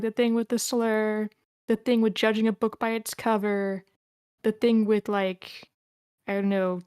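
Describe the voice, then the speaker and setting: Clear, smooth-voiced woman giving informal feedback. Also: medium pitch, darkish tone, dry recording, clean studio sound. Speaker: participant giving script feedback. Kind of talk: informal group discussion.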